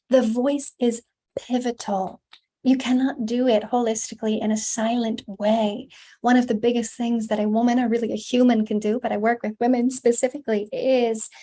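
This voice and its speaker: A woman with a mellow, soft-edged tone, pitched a little above the middle, who speaks in long flowing runs with emphatic stresses. She often restarts a word and leans on "like" as she goes. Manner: flowing, emphatic, repeats words and says "like" often. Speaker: guest, women's embodiment teacher. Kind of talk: conversational interview.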